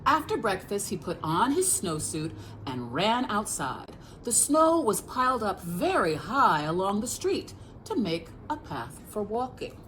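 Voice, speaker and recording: Unhurried, animated woman reading a storybook. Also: medium pitch, a little hiss or hum, poor audio. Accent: American. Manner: expressive, questioning, addresses children directly. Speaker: children's librarian reading at storytime. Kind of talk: storytime picture-book read-aloud with asides to young listeners.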